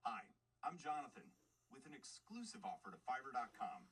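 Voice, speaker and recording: A man doing a deep-voiced ad announcer. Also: very animated, fairly bright tone. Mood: smooth. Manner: smooth deliberate announcer delivery. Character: male announcer in a sample recording. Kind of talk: voice-over sample ad read. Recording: distant playback with faint background noise.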